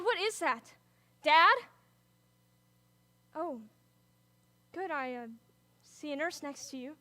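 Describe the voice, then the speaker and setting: A young woman performing a dramatic monologue as a teenage girl talking to her sick father, moving between gentle reassurance and frantic pleading. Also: sits high, no room sound, heavily acted. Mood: alarmed. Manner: big emotional swings, from gentle to frantic pleading.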